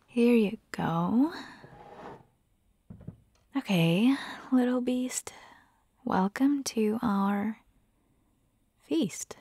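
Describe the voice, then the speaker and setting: A woman playing a caring, teasing vampire hunter girlfriend in an intimate ASMR roleplay, whispering breathily and coaxing gently. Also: a little high, very slow, long pauses, slightly rough, exaggerated voice. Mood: playful, coaxing.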